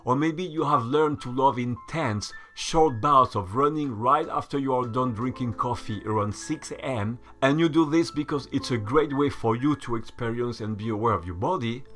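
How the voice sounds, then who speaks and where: Man lecturing over soft music. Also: medium pitch, moderate pace, hardly any pauses. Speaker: channel host. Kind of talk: scripted voice-over essay.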